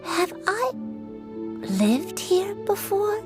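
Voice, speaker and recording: Slow-speaking, frail old woman. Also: extremely high pitch, big pitch swings, exaggerated voice. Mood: puzzled, wistful. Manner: slow, hesitant, wistful. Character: frail, forgetful, polite elderly woman. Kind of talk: video game voice acting. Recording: background music under the voice.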